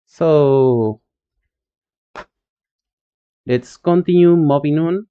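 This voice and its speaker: Argentine accent guy live coding, slow and halting, lots of ums, smooth voice, kinda muffled lofi audio. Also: a little high. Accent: Argentine Spanish. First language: Spanish. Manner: halting, many fillers and restarts. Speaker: streamer coding an emulator. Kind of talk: live coding stream, reading chat.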